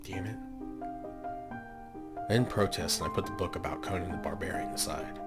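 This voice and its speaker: A man's voice: low voice, moderate pace, vocal fry. A man hosting a game playthrough, mixing casual commentary with reading the story text aloud. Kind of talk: casual gaming commentary and read-aloud narration. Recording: music under the voice. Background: game music.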